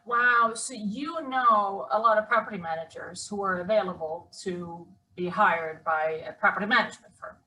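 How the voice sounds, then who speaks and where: A woman's voice: mid-range voice, moderate pace, few pauses, pushed and bright, distant mic, poor audio. A woman from Sweden with a Swedish accent, hosting an interview with upbeat enthusiasm. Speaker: host. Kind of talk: interview chat.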